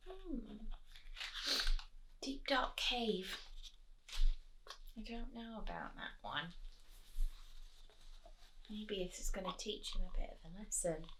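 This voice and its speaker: A woman reading a picture book aloud to young children, expressively, sometimes dropping low and asking the listener questions. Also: a little hiss or hum, poor audio. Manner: expressive, drops to whispers, asks the listener questions. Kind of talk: animated read-aloud with chatty asides to young children.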